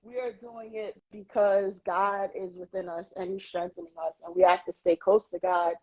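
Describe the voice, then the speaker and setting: Brief: woman from Queens, interview guest, mid pitch, reflective, hesitant, heard through muffled phone-stream sound. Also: flat delivery, moderate pace, a little mellow. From New York City, Queens. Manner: reflective, faith-centered, many "um" and "you know" fillers. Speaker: podcast guest. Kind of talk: casual interview chat. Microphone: phone on a live social video stream. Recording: lo-fi livestream audio.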